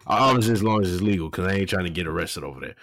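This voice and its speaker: A man's voice: sits low, lively intonation, rapid-fire, fairly bright tone, dry recording, studio quality. A man in his late thirties hosting a podcast over a video call, laid-back and slangy, laughing often. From Florida. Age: 30s. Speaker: podcast host. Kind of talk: casual podcast chat.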